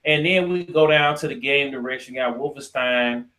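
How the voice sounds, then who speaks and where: An American man co-hosting a casual podcast debate, talking in slang, often halting and repeating himself. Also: medium pitch, echoey room, a crowd in the background, low-quality recording. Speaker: podcast co-host.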